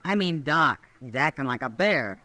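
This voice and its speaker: A woman's voice: deep voice, expressive, moderate pace, pushed and bright. An actress playing a frank, friendly frontier woman in an old-time radio western. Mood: friendly, concerned.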